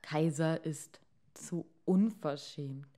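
A woman acts out an anxious fisherman husband from a storybook. He is henpecked and pleading, with slow, hesitant speech. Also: deep voice, warm tone, voice pushed down. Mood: anxious, reluctant.

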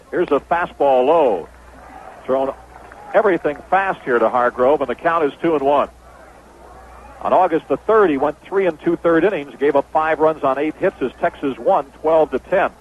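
A man announces baseball play-by-play in a fairly high voice. The sound is a narrow, tinny old AM radio broadcast with some faint noise behind him. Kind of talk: radio sports commentary.

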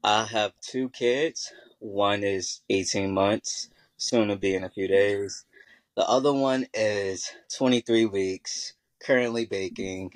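A man in his twenties from Virginia, a panel guest, telling his own story in a soft, hesitant way with lots of fillers. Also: on the low side, slow pace, no room sound. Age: 20s. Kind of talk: casual personal storytelling.

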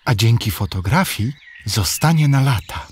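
A man's voice: mid-range voice, expressive, normal speed, a little hiss or hum, natural voice. Man playing a tender, proud village father. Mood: brisk, affectionate. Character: proud, loving village father and fruit grower. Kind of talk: children's radio play in rhymed dialogue.

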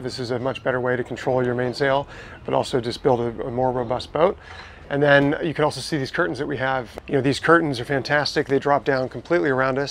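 A man in his thirties shows off his boat in an enthusiastic, explanatory way, often restarting his sentences. His voice sits at an average pitch. Faint background noise runs under his lapel mic. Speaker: boat owner giving a tour. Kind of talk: casual walkthrough tour. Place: inside a catamaran saloon, docked.

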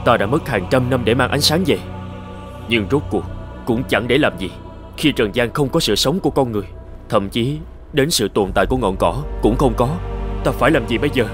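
A man playing an earnest, determined young immortal god in an animated myth, solemn and resolute. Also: medium pitch, moderate pace, over music, lightly acted. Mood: troubled.

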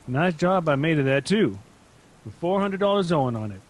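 A man's voice: on the high side, animated, moderate pace. An actor playing a querulous, blustery older father in a radio drama. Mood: grumbling. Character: grumbling, excitable older father at home.

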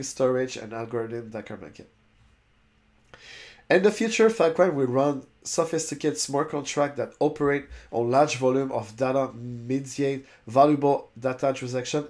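A man's voice: medium pitch, normal speed, darkish tone. French-native man reading web pages aloud. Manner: stumbles and restarts on long words. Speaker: crypto YouTuber. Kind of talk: reading a website aloud with casual asides. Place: indoors, webcam overlay on screen share.

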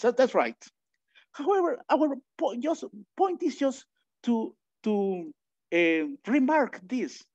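A man from Mexico with a Mexican accent, giving a philosophy lecture online, thinking aloud with many restarts and lively asides. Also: very high-pitched. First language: Spanish. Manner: thinking aloud, frequent restarts and filler sounds, animated asides. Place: home room, indoors. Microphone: video call webcam. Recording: dull lo-fi video call audio.

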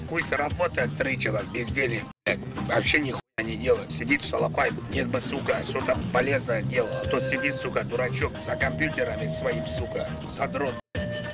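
A man's voice: mid-range voice, steady tone, moderate pace, over loud music. A man livestreaming in a coarse, mocking and belligerent way, ranting with constant swearing. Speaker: livestreamer making prank calls.

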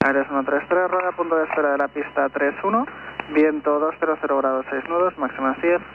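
A man's voice: fairly high pitch, fairly even intonation, brisk. A man working as an air traffic controller, giving clipped aviation instructions over the radio. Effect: aviation radio transmission. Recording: noisy, tinny, band-limited air traffic radio. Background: loud radio static and noise.

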